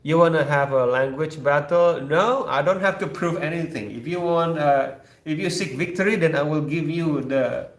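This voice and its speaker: A man's voice: on the high side, normal speed, few pauses. Joking Indonesian man in an echoey room. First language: Sundanese. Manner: joking, teasing, many fillers and restarts. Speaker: host of a live chat stream. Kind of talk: casual live chat reading viewer comments.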